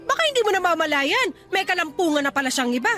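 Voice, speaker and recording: A woman's voice: very high voice, very animated, fast talker, sharp tone, soft background music, heavily acted. A woman playing a blunt, nagging older mother in a radio drama, scolding and insistent. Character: blunt, nagging older mother warning her married daughter. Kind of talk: Tagalog radio drama acting.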